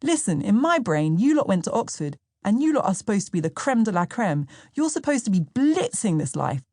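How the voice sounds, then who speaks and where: A woman's voice: mid-range voice, sing-song, a little fast, darkish tone, no room sound, studio quality. A woman voicing an incredulous, streetwise young London man with slangy, emphatic speech in an audiobook memoir.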